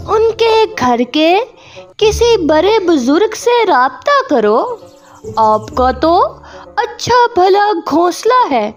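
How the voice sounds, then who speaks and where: Helpful cartoon crow wife, played by a woman: high-pitched, animated, encouraging adviser. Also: highs cut off, full character voice. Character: helpful female crow wife giving kindly advice. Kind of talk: cartoon moral story dialogue.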